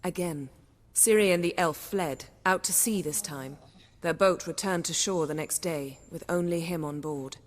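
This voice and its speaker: Female actor as grave sorceress, low-pitched, composed, controlled, formal, game cutscene, reverberant. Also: moderate pace, lightly acted. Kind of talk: video game voice acting.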